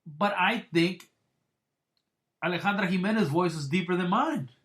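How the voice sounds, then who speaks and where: A man hosting a boxing podcast, rambling with many restarts and crude, blunt remarks, swinging between loud excited bursts and low mutters. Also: moderate pace. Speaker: podcast host. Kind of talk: casual boxing podcast commentary.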